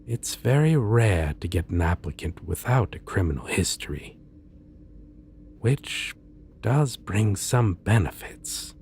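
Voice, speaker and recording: A man playing a suave, theatrically polite supervillain in an ASMR roleplay, speaking with deliberate pauses and sly menace. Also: on the low side, animated, darkish tone, a little hiss or hum. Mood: cordial, pleased. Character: suave male supervillain interviewing a minion, courteous, slyly menacing. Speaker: roleplay voice performer. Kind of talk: ASMR roleplay, scripted monologue.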